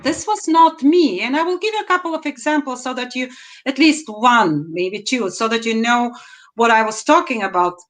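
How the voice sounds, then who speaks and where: Measured Bulgarian-accented woman in her fifties. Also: on the high side. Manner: measured, deliberate, with emphatic rises. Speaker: guest. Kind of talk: interview answers, personal story. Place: home room, indoors. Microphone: video call.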